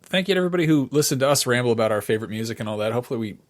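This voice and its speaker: A man's voice: mid-range voice, expressive, rapid-fire, a crowd in the background. A middle-aged man co-hosting a podcast, talking in an unscripted, casual way with a wry, irreverent streak. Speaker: podcast co-host.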